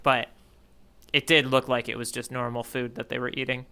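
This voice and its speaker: A man's voice: mid-range voice, little pitch movement, normal speed, sharp tone, clear, resonant tone. A man co-hosting a podcast in casual, conversational chat. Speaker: podcast co-host.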